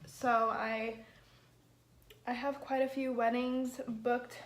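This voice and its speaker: Hoarse young woman chatting on a livestream. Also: on the high side, level tone, slow, echoey room. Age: young adult. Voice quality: chatty and rambling, fills with "like" and "um". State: hoarse, crackly voice from allergies. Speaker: makeup vlogger. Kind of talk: chatty get-ready-with-me livestream. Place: small bedroom, indoors. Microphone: built-in mic of a camera at arm's length.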